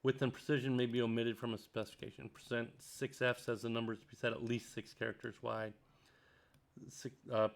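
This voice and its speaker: Guy talking through a c tutorial, reads the book out loud then comments, lots of um and uh, regular mid voice. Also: mid-range voice, creaky. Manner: thinks out loud, many ums and uhs. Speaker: programming tutor. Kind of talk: screen-recorded coding tutorial, reading a textbook aloud.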